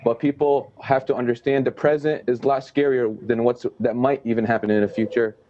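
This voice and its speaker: A man's voice: medium pitch, flat delivery, brisk, dark tone, highs cut off. A young man, a student guest, answering earnestly and repeating words as he searches for them. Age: young adult. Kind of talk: casual interview answers.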